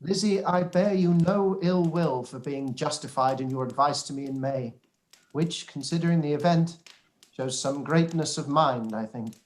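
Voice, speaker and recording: A man acts an older gentleman father in a radio play, fairly light in pitch for the part. He is weary and wry, delivering his words with dry deliberation. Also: moderate pace, lightly acted, low-quality recording. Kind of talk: radio play live read.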